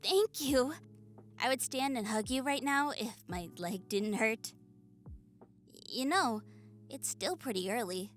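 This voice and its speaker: A woman voicing a shy, nerdy young goblin woman in a romantic audio roleplay, hesitant, stammering and soft-spoken. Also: a little high, expressive, takes it slowly, pauses often. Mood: delighted. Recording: music behind the voice. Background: soft music.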